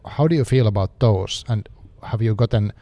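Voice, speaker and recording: A man's voice: lowish voice, moderate pace, dark tone, no echo. Man, English, hesitant, casual podcast host, dry recording. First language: not English. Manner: hesitant, searching for words. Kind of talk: casual conversational interview.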